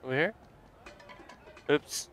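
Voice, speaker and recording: A young man giving casual, excitable commentary while playing a video game, joking and swearing freely. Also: slow pace, soft background music. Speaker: let's play gamer.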